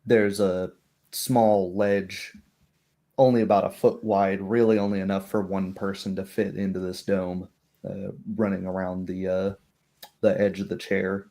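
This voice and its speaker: Male game master, low voice, unhurried descriptive narration. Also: flat delivery, dark tone.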